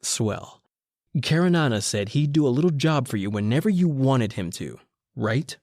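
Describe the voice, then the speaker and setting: An actor playing a determined, hard-boiled newspaper reporter, earnest and clipped, in an old-time radio noir style. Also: male voice, medium pitch, lively intonation, moderate pace, a little mellow, no echo. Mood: resolved.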